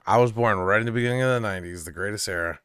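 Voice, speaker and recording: A man's voice: fairly low pitch, racing, fairly bright tone, clean tone. A man in his thirties co-hosting a casual live-stream podcast, joking around and wrapping up the show with lots of fillers. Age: 30s. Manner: joking, loose, filler-heavy sign-off. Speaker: podcast co-host.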